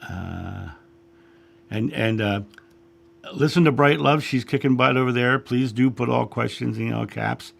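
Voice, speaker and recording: A man hosting a spiritual livestream, chatting casually and greeting viewers by name, his voice a little raspy after a long week. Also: medium pitch, a little slow. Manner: friendly, chatty, reads names from chat with frequent fillers. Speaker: livestream host, spiritual guide. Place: home studio.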